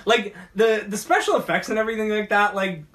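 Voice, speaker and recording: A man hosting a casual geek talk show, rambling about movies in a halting way and often repeating his words. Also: high voice, a bit bright, a little hiss or hum. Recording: distant from the mic.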